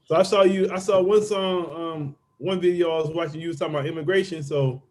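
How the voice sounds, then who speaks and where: A man's voice: a little high, fairly even intonation, fast talker, a little mellow. A man co-hosting a podcast, asking loose, slangy questions. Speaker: podcast co-host. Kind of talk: casual podcast interview.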